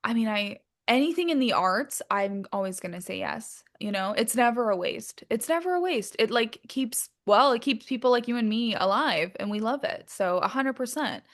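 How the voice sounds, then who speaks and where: A woman's voice: on the high side, expressive, normal speed, hardly any pauses. A woman chatting casually as an interview guest, candid and informal, swearing freely and using lots of filler likes. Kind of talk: casual chat.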